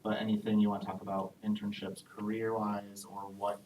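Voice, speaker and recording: A man with a fairly low voice hosts a live admissions Q&A in a friendly, explanatory way, stopping now and then on an "um". He is picked up from a distance in an echoey room. A faint hiss runs behind him. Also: completely flat delivery, darkish tone. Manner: friendly, explanatory, with frequent "um" pauses. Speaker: assistant director of international undergraduate admissions. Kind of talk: hosting a live admissions Q&A panel.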